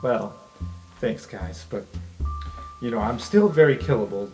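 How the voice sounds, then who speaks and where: A man's voice: medium pitch, lively intonation, a little slow, a little mellow, faint music behind, voice pushed down, lightly acted, poor audio. A man playing a guarded, self-deprecating vampire student who speaks bluntly and hesitantly in improvised tabletop roleplay. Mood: awkward, dry.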